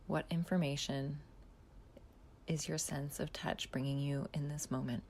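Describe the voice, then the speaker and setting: Soft low-voiced woman guiding a meditation. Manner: slow, soft, calm instructions with long pauses, often half-whispered. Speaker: mindfulness guide. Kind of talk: guided body scan meditation.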